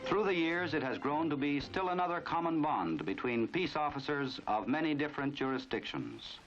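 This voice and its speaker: A man's voice: a little high, expressive, normal speed, fairly bright tone, dull audio, soft background music. An American man narrating a 1950s instructional film in a formal, authoritative, instructive manner on an old film soundtrack.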